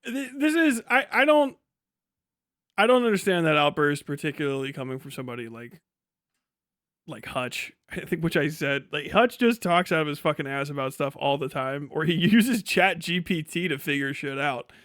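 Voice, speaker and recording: A man hosts a livestream in a casual, irreverent voice that sits on the high side. He jokes and swears freely as he comments. The sound is close and dry, with no room around it. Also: lively intonation, normal speed, a bit bright, no room sound. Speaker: livestream host.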